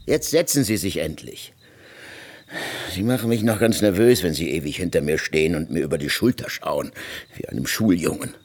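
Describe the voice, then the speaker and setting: An actor playing an irritable, imperious older revolutionary leader in a radio drama, scolding with impatient contempt. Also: male voice, sits low, animated, moderate pace, a little mellow. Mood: irritated. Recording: music behind.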